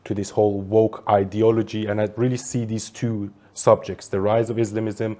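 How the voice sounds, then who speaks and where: A man's voice: fairly low pitch, little pitch movement, moderate pace, warm tone. A man in his thirties from Iran, a political commentator giving long, earnest interview answers. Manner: earnest, fluent, talks at length.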